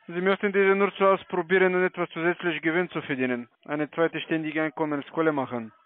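A man with a foreign accent, speaking non-native German as a telemarketing caller giving a sales pitch. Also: fairly even intonation, a little fast. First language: not German. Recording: tinny phone line.

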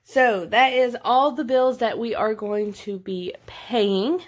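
A woman's voice: fairly high pitch, steady tone, slow pace. Chatty woman in her late twenties. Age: late 20s. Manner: chatty, thinking aloud. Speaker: budgeting vlogger. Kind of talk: casual narrated budget walkthrough.

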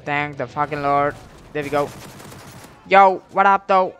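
A young man giving casual gameplay commentary in an excitable, slangy, profane way, speaking into a headset. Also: on the high side, measured pace, muffled recording, faint music behind. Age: young adult. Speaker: gamer, YouTube host. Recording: clear game gunfire noise behind voice. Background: shooter game gunfire and clicks.

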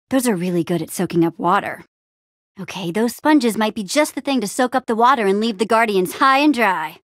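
A woman playing a plucky, bossy but encouraging young adventurer heroine in a video game, with an expressive, casual, peppy delivery. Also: fairly high pitch, no room sound, clean studio sound. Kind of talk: animated adventure game dialogue.

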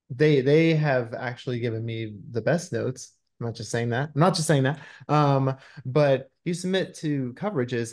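A man's voice: medium pitch, darkish tone. A man in his thirties, a screenwriter answering interview questions conversationally over a video call from a room at home.

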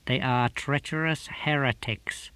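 A man voicing a cold, menacing churchman who speaks in a soft, sinister whisper. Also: medium pitch, steady tone, measured pace, smooth, no echo. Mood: menacing hush.

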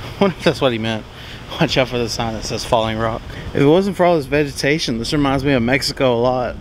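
A man's voice: mid-range voice, quick pace. A young man, rambling casually as he vlogs his travels. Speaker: vlogger. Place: parked truck cabin and outdoors on trails. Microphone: handheld or propped camera. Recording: clear vehicle and outdoor background noise.

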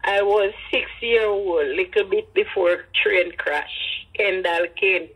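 An elderly woman with a Jamaican accent and husky voice, telling personal stories with emphatic repetition and Creole phrasing. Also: mid-range voice, takes it slowly, highs cut off. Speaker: interviewee, grandmother. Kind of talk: personal storytelling in an interview.

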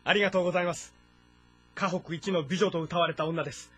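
A man's voice: high-pitched, fairly even intonation, fast talker, fairly bright tone, breathy edge, soft background music. A man playing an eager young nobleman in a historical game drama, formal and earnest. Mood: eager, respectful.

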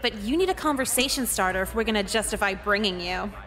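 A woman's voice: medium pitch, lively intonation, fast, a bit bright, close to an everyday voice. A woman voicing a brash, foul-mouthed teenage schoolgirl in a visual novel game, blunt and sneering. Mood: sardonic.

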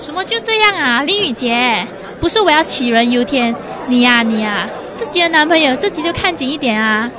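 A woman's voice: high-pitched, lively intonation, brisk, few pauses. A woman with a Malaysian accent playing a nosy, protective young best friend in a radio drama. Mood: probing. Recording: outdoor crowd behind voice.

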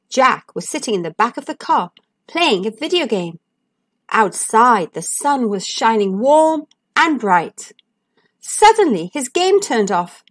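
High-pitched, very animated woman storyteller. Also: dry recording, clean studio sound. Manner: expressive, deliberate emphasis for young listeners. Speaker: storyteller and host. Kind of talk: children's storybook narration.

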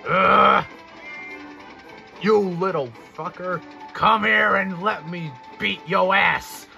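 A man's voice: mid-range voice, animated, moderate pace, sharp tone, faint music behind. A man voicing a small, vain, foul-mouthed steam engine in a storybook parody, boastful and combative. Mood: angry.

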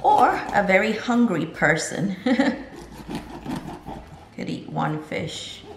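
A woman's voice: mid-range voice, animated, slow, creaky, echoey room, background noise. A woman in her forties, a home cooking vlogger, talking casually and upbeat while she demonstrates a recipe, laughing often. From Nigerian, Jamaican and American. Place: home kitchen indoors, garden outdoors at first.

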